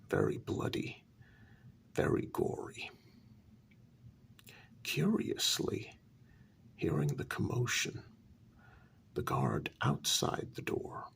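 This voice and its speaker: A man telling a story in a soft-spoken ASMR style, breathy and deliberate, with many dramatic pauses. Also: rumbling low. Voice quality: breathy half-whisper with heavy creak. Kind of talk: soft-spoken ASMR story narration, first-person role-play.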